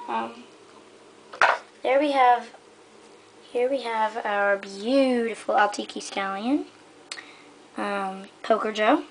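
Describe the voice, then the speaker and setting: A woman with a slightly high voice talks slowly through a casual show-and-tell. She is hesitant and halting, often letting sentences trail off. It is an amateur home camera recording with faint noise. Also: poor audio. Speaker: hobbyist giving a toy horse stable tour. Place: indoors in a room at home. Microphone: handheld camera held close by the speaker.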